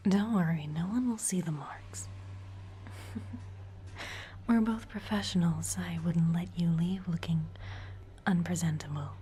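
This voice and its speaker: A woman's voice: fairly low pitch, a little slow, long pauses, mellow, slight background noise, close to an everyday voice. A woman whispering in an ASMR roleplay as a teasing, predatory female vampire office boss. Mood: smug. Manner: whispered throughout.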